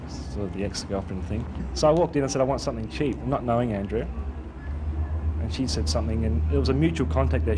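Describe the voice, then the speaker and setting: Rambling young man, street noise. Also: on the low side. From born in Italy, raised in Australia. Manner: rambling, hesitant, full of "sort of". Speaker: clothing designer and shop owner. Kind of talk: casual street interview. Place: outdoors on a city street. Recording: street traffic noise behind.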